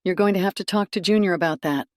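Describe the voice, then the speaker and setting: A woman's voice: lowish voice, fast talker, darkish tone, no echo, studio quality, close to an everyday voice. A woman voicing a kindly blacksmith in her sixties for an audio story. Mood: gentle.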